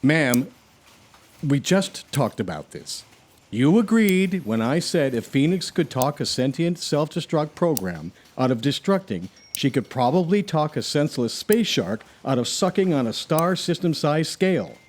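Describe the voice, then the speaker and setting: A man's voice: a little high, very animated, unhurried. A man playing a deferential, level-headed crew member reasoning with his boss in a sci-fi comedy drama. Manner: polite, reasoning.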